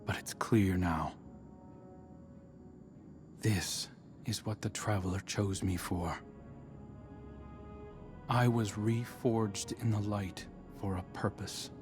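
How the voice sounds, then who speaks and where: A man's voice: lowish voice, steady tone, slow pace, long pauses, over music. A man acting a remorseful guardian hunter in a video game cutscene, speaking reflectively and earnestly. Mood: reflective, resolved. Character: remorseful male guardian hunter seeking redemption.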